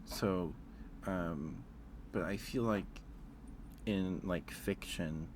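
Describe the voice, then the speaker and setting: A man's voice: low-pitched, extremely slow, slight background noise. A man chatting casually with livestream viewers as host, musing aloud in an informal, hesitant way.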